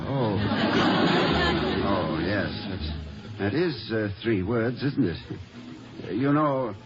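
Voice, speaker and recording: Male for a hesitant, refined professor in 1950s radio drama; highly expressive pitch, muffled broadcast sound. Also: slow, loud music behind the voice, over a noisy crowd. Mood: lovestruck, hesitant. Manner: stammering, flustered, refined. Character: absent-minded, romantic, hesitant college professor.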